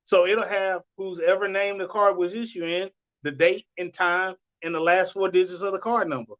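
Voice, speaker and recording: Squeaky high-voiced man on a dull lo-fi recording. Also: fairly even intonation, normal speed, fairly bright tone. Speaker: podcast co-host. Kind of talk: casual chat.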